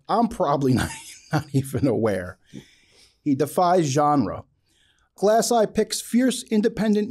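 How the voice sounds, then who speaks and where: Animated, high-pitched man hosting, mellow tone. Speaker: podcast host. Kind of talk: enthusiastic interview with a prepared introduction.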